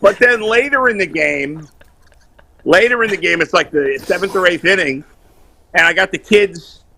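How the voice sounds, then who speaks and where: A man calling in to a sports talk show, loud, blunt and opinionated, bantering casually over a video call. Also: high voice, normal speed, creaky. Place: remote video call while on vacation.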